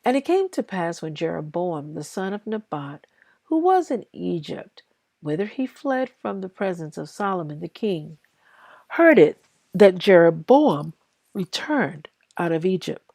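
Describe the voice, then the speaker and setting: A woman reading scripture aloud in a deliberate, halting way, phrase by phrase. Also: fairly low pitch, sing-song, gravelly, darkish tone, dry recording. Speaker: scripture reader.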